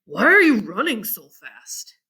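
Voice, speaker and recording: A woman voicing a kindly, earnest sixteen-year-old farm boy in a storybook read-aloud, speaking with quiet pride. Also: on the high side, very animated, measured pace, vocal fry, husky, full character voice. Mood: concerned.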